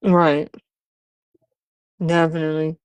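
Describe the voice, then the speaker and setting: A man's voice: a little high, monotone, takes it slowly, clear, resonant tone, no room sound. A man in his twenties hosting a podcast, earnest and encouraging, telling stories from his own start as a barber. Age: 20s. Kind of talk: casual interview chat.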